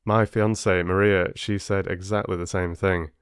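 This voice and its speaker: A man working as an audiobook narrator, reading stories and addressing listeners with measured, deliberate phrasing. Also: deep voice, little pitch movement, smooth, no echo, clean studio sound. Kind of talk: expressive storybook reading and listener chat.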